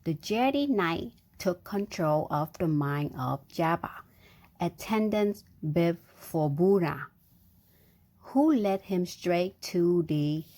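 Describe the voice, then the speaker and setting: A woman's voice: lowish voice, expressive, extremely slow, mellow. A woman reading a storybook aloud to a young child, breaking off for chatty, engaging asides and questions. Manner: engaging, prompting with questions and sound effects.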